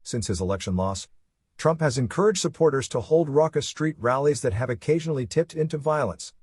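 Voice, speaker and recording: A man reading a scripted news report in a formal, measured manner. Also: medium pitch, animated, darkish tone, husky, no echo. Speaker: news reader.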